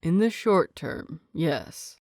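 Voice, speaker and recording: A woman voicing a stern older man, a clan teacher with a measured, formal, reserved manner, in an audio story. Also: deep voice, expressive, a little mellow, no echo, studio quality, exaggerated voice. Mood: grave.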